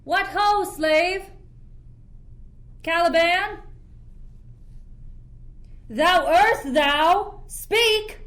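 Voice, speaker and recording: A woman's voice: very high-pitched, extremely slow, pauses often, fairly bright tone, full character voice. A young woman playing an imperious sorcerer who rules an island, in theatrical Shakespearean verse for a radio play. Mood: furious, contemptuous. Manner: commanding, theatrical. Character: Prospero, imperious, stern sorcerer ruling an island.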